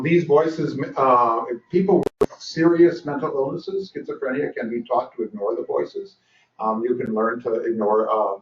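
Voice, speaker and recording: An older man giving a lecture at a training session, talking with dry humor and lots of ums and restarts. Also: mid-range voice. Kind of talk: conference lecture. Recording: roomy, distant, dull lecture recording.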